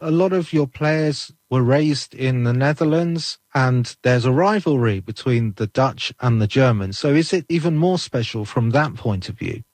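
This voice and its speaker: A man interviewing as a sports reporter, asking measured, prepared questions. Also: mid-range voice, a little mellow, dry recording.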